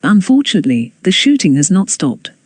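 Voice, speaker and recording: An announcer reading scripted emergency news bulletins in a formal, measured way. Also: mid-range voice, sing-song, slightly rough, no room sound.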